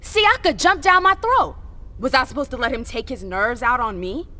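An actress playing a defiant, sharp-tongued vampire socialite in a video game, casual and sarcastic in manner. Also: female voice, high-pitched, animated, normal speed. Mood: indignant. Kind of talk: dramatic game cutscene acting.

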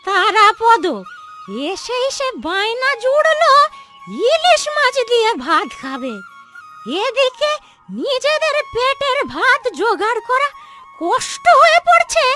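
A woman's voice: very high-pitched, big pitch swings, slow, full character voice. A woman voicing a worried, grumbling old village grandmother in a cartoon story. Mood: scolding, exasperated. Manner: exclaiming, complaining, fretful. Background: background music.

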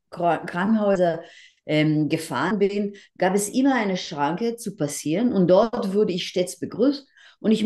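Older woman, low mellow voice. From Israel. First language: Hebrew. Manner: measured, with frequent hesitations and restarts. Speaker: interview guest. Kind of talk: earnest explanatory interview answers. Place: living room at home. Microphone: video call.

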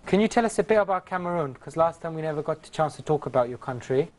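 A man's voice: fairly high pitch, animated, fast, a little hiss or hum. A man in his thirties hosting a TV quiz show in a studio, friendly and upbeat, on a lapel mic.

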